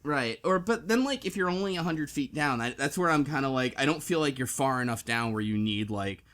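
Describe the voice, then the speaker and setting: A man with an average-pitched voice hosts a relaxed group discussion. He thinks aloud, hesitating and often trailing off before he finishes a thought. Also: fairly quick. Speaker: book club podcast host.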